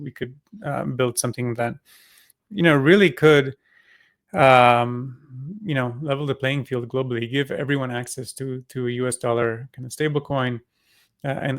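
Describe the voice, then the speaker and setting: A man's voice: medium pitch, a bit bright, dry recording, clean studio sound. Hesitant man full of fillers. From Singapore. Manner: halting, many fillers and restarts. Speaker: crypto company co-founder, guest. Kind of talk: interview answer.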